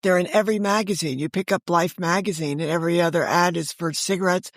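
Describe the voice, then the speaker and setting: An American woman in her sixties telling stories conversationally as an interview guest, animated and emphatic, jumping between thoughts. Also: lowish voice, fast, no echo, clean studio sound. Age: 60s. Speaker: guest, author and audio drama creator.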